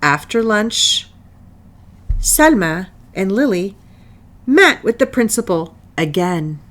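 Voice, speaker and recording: A woman reading a children's picture book aloud as narrator, with deliberate, dramatic phrasing and long pauses. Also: medium pitch, very animated, fairly bright tone. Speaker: storybook reader.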